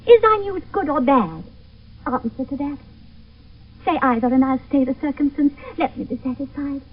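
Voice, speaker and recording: A woman's voice: sits high, expressive, normal speed. A woman playing an eager, lovestruck teenage girl in a Shakespeare radio play, urgent and lyrical. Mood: pleading, impatient. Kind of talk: 1970s radio Shakespeare acting. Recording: muffled phone-like vintage radio broadcast, distant.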